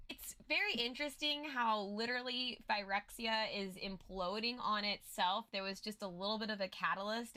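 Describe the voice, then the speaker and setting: A woman's voice: medium pitch, pushed and bright. A woman co-host giving casual, chatty commentary on a fantasy story recap.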